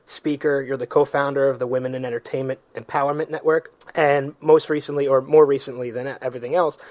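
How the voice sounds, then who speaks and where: A man's voice: medium pitch, steady tone, fast, a little mellow, dry recording. Casual male radio host. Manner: casual, friendly questioning with fillers. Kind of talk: radio interview.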